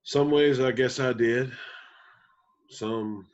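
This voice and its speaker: A man playing a gentle, weary country musician father in a table read, with folksy, halting phrasing. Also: medium pitch, steady tone, highs cut off, close to an everyday voice. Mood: wry, guarded. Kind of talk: screenplay table read acting.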